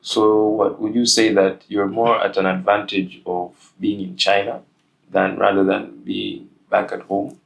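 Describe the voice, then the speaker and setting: A man's voice: lowish voice, flat delivery, measured pace, vocal fry, low-quality recording. A young man hosting a casual interview, talking in a hesitant way with many fillers. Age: young adult. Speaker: host.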